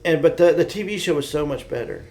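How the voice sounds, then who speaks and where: A man's voice: on the high side, animated, fast, darkish tone. A man co-hosting a podcast, chatting casually about pop culture in a blunt, opinionated way. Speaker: podcast co-host.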